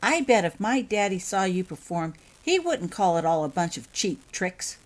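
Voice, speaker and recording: A woman voicing a curious, excited little girl in a storybook reading, chatty and breathless with wonder. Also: on the low side, moderate pace. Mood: amazed. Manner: breathless, exclaiming, eager.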